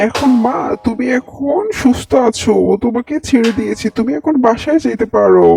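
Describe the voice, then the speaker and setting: A man's voice: very high voice, gravelly, warm tone. A man voicing a scheming, commanding village exorcist who is a fraud, in an amateur cartoon. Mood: calm, musing. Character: scheming fake exorcist healer, commanding and sly. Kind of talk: amateur animated cartoon voice acting. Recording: distant mic, noisy, clicks.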